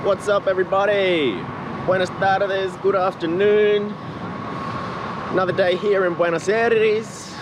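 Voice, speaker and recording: A man with an Australian accent, a native English speaker, giving excited, informal vlog commentary outdoors. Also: high-pitched, takes it slowly, noisy background. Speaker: travel vlogger. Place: outdoors on busy city streets. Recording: outdoor street with crowd and music behind. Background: loud crowd and music.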